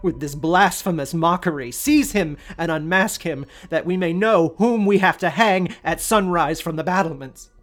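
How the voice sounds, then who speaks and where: Angry haughty prince yelling orders, pitched up high, man doing the voice. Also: normal speed, few pauses, faint music behind, close to an everyday voice. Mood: furious. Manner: commanding, demanding, raised voice.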